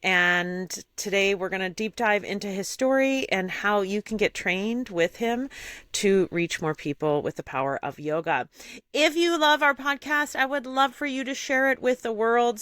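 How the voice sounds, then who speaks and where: A woman's voice: a little high, a bit bright, no room sound. A woman hosting a podcast interview in an upbeat, enthusiastic way over a video call. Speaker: podcast host.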